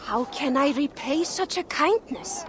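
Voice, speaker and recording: A man's voice: extremely high pitch, animated, a little slow, fairly bright tone, a little hiss or hum, full character voice. An actor, a man, playing a hungry, weary dwarf toiler in a video game, plaintive and theatrical. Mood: grateful. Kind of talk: video game cutscene acting.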